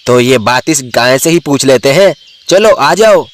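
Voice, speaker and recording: Cautious bear friend, voiced by a man, mid-pitched. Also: lively intonation, a little slow, natural voice. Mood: matter-of-fact. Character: cautious, hungry male bear, loyal friend. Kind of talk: Hindi animal storybook voice acting.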